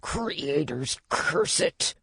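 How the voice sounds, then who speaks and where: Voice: medium pitch, animated, a little slow, a little mellow, no room sound. A narrator playing a cocky, scrappy young man of the underworld in an audiobook, snappy and defiant. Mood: aggrieved.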